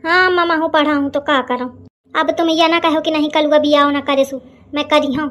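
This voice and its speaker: A woman's voice: high-pitched, fairly even intonation, moderate pace, fairly bright tone. A woman voicing a cheeky young village son in an Awadhi cartoon comedy, wry and complaining, with an Awadhi accent.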